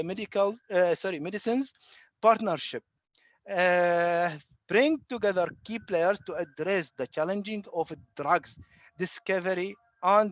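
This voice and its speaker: Man from Iraq, online lecture, halting with lots of uh, high voice, dry muffled sound. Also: dry recording. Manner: halting, many fillers and restarts. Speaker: guest lecturer. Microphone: computer mic on video call.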